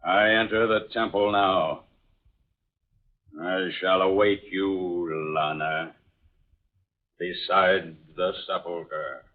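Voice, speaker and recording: Radio villain: ancient spirit emperor, slow, commanding and portentous, on a narrow, dull old recording. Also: male voice, deep voice, flat delivery. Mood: cold, commanding. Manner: imperious, slow, portentous declamation. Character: menacing ancient spirit emperor in a borrowed human body. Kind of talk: old-time radio drama acting. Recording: muffled, narrow-band vintage radio recording.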